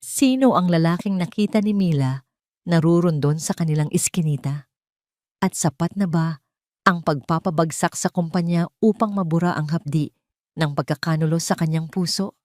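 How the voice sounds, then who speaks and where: A woman narrates a scripted audio drama. Her voice sits a little low for a woman. The sound is dry, with hardly any room to it. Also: moderate pace, a little mellow, dry recording. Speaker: storyteller, narrator.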